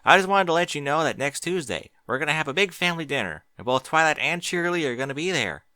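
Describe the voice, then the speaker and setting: A man's voice: a little high, expressive, fast, sharp tone, dry recording, studio quality, voice pushed up. A man voicing a friendly grown farm mare, a big sister who teases.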